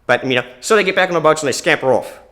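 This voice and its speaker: A man's voice: fairly high pitch, rapid-fire, a bit bright, lightly acted. A man improvising a laid-back Australian bloke with an Australian accent, rambling through a story. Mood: storytelling. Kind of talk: improvised comedy monologue.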